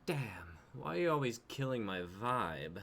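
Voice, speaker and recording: A young man performs dialogue in a dramatic read-through. His voice has a middling pitch, and there is noise behind him on the stream recording. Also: very animated, moderate pace, gravelly, a bit bright. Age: young adult. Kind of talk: dramatic script reading, acting characters. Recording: noticeable background noise, online stream.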